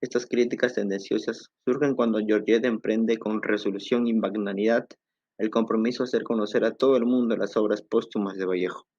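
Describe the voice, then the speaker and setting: A man's voice: on the low side, fairly even intonation, fairly quick, a little mellow, no echo. A man reading a narration in a formal, explanatory way. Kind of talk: read narration. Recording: dry, dull lo-fi recording.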